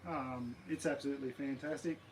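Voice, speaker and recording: A man with an Australian accent and a slightly croaky voice, chatting casually and rambling on a live stream from his backyard. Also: mid-range voice, a little fast, background noise. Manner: rambling, relaxed, many ums and uhs. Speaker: camping channel host. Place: backyard outdoors.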